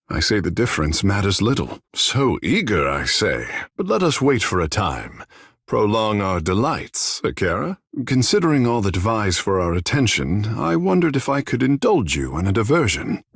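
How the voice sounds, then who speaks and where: An actor voicing a vain, flirtatious sea-folk water shaper in a video game, with theatrical, languid, florid delivery. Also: male voice, fairly low pitch, vocal fry, husky, dry recording, clean studio sound, full character voice. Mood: wounded. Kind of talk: video game character dialogue.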